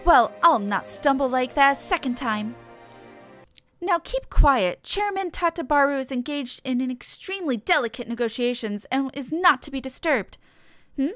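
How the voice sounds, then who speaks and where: Woman playing a snooty, high-pitched company clerk. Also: expressive, moderate pace, a bit bright, soft background music. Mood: haughty. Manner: haughty, formal, scolding.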